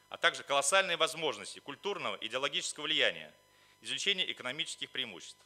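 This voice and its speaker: A man's voice: mid-range voice, pushed and bright. A man delivering a formal, official prepared speech as a conference speaker. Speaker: conference speaker, representative of the organizers. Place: conference hall at a library.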